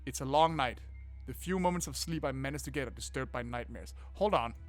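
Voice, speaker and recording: A man hosting a game playthrough, reading narration aloud and commenting in a casual, expressive, sweary way. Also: medium pitch, fairly quick. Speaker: gaming video host. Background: faint game music.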